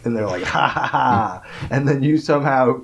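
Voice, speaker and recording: A man's voice: medium pitch, lively intonation, moderate pace, gravelly, a little hiss or hum. A man co-hosting a casual comedy podcast, riffing on absurd bits and laughing often. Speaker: podcast co-host.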